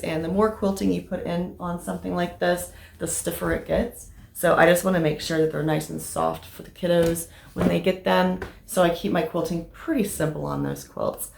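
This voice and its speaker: A woman with a slightly raspy voice from allergies, chatting casually about her crafting progress with lots of fillers. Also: on the low side, a little mellow. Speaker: crafting vlogger. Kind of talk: casual show-and-tell vlog. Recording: echoey room.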